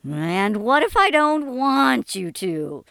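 A woman voicing an ancient, imperious female alien lizard leader in a story, suspicious and scornful, chirping out her words deliberately. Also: on the high side, very animated, gravelly, fairly bright tone, no room sound, studio quality, voice pushed up, heavily acted. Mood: suspicious, demanding. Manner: chirping, slow drawn-out deliberate delivery.

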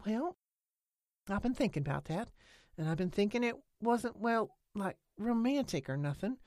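A woman voicing a stubborn, excitable rural woman who talks in country dialect and rambles defensively. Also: mid-range voice, normal speed, dry recording, clean studio sound, pitched above the performer's own voice, lightly acted. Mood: earnest.

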